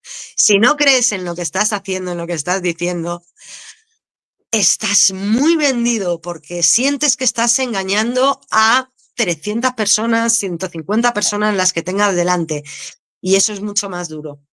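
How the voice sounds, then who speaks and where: A woman, an actress, speaking with a Canarian accent, answering interview questions in a candid, expressive way and laughing easily. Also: mid-range voice, unhurried. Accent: Canarian Spanish. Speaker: actress, guest.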